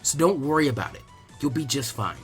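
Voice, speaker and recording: A man's voice: medium pitch, animated, brisk, soft background music, lightly acted. A man voicing a teenage girl ninja in a story reading, casual and teasing, a supportive mentor to a younger one. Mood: reassuring. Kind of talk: audiobook character acting.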